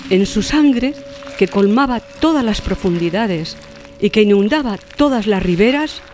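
A woman's voice: mid-range voice, animated, a little slow, darkish tone. A woman narrator reading a scripted literary audio story. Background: music.

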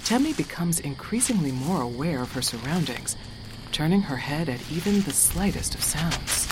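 A woman narrating a scripted story in a measured, descriptive storytelling manner. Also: low-pitched, lively intonation, noisy background.

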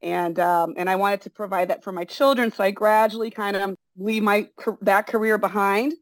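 A woman's voice: medium pitch, fairly even intonation, moderate pace, no echo. A middle-aged woman who grew up in Brazil and speaks both Portuguese and English, telling her story as an interview guest in a chatty, rambling way with many fillers and restarts. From born in the United States, raised in Brazil. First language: bilingual in Portuguese and English. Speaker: interview guest, forest school founder. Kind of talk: casual interview conversation.

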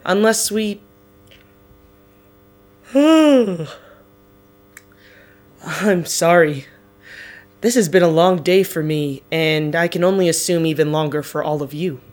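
A woman's voice: fairly low pitch, slow pace, pauses often, darkish tone, soft background music. A woman playing a weary, considerate team leader in an audio drama.

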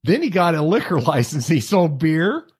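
A man's voice: a little high, big pitch swings, normal speed, husky. An American man hosting a casual interview in a chatty, folksy way, rambling into asides. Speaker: interview host.

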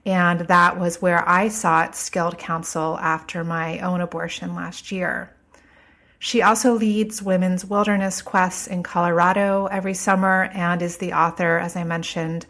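A woman hosting a podcast, speaking a little stuffed up from a cold, earnest and slow on her emphatic phrases. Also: lowish voice, a bit bright. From United States. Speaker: podcast host. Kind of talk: podcast intro monologue.

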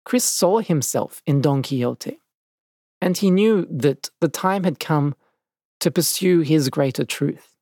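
A man reading scripted documentary narration as a measured, dramatic storyteller. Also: fairly high pitch, expressive, darkish tone, no echo, studio quality. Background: faint music.

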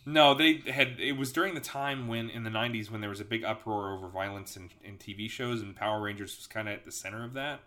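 A man's voice: on the low side, fast, few pauses, bright, forceful tone. A man in his late twenties, a podcast host, chatting casually and rambling through anecdotes, often laughing and trailing off. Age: late 20s. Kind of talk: casual chat.

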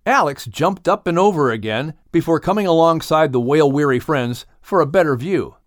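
A man narrating a children's audiobook story in a dramatic, expressive style. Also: on the high side, moderate pace, dry recording, clean studio sound.

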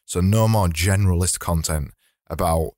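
A man hosting a casual solo podcast, his voice slightly hoarse and fading, rambling and correcting himself as he talks. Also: low-pitched, moderate pace, a little mellow, no room sound, studio quality. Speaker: podcast host.

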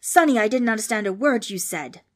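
A woman's voice: on the high side, expressive, a little fast. A woman voicing a grumpy, bossy old male ghost in a children's storybook, blustering and screechy. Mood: cranky, blustering. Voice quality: screechy, roaring.

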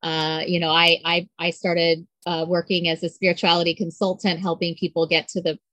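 A woman's voice: on the low side, little pitch movement, a little fast, a bit bright, no room sound. A woman hosting a podcast who asks loose, rambling questions in a chatty, laughing way. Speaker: podcast host. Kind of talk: casual interview chat.

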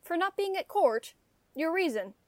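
Woman playing a high-voiced simple country shepherd. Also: very animated, normal speed, no echo. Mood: puzzled, defensive. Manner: earnest, plain-spoken. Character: simple, honest, contented country shepherd, male. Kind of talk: Shakespearean radio play acting.

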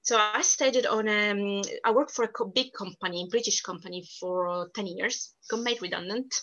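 Bright-voiced Italian woman, earnest. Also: mid-range voice, dull audio. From Sicily, Italy. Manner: earnest, emphatic, quick restarts. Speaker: podcast guest. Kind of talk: casual interview talk.

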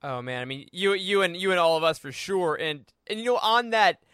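Male podcast co-host, noticeably high voice, enthusiastic and agreeable, informal fan-chat delivery. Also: brisk, fairly bright tone, smooth, no room sound, studio quality.

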